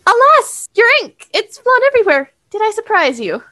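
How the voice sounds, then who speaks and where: A woman's voice: very high-pitched, sing-song, normal speed, sharp tone, no echo, voice pushed up, exaggerated voice. A woman voicing a naive, defiant young noblewoman, dramatic and earnest in flowery speech, for an improvised fantasy podcast. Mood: excited.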